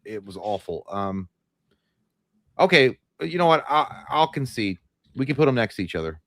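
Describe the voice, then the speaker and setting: A man with a naturally deep voice, co-hosting a live movie chat with blunt, profane banter. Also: very animated, moderate pace, fairly bright tone, no echo, clean studio sound. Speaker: podcast co-host.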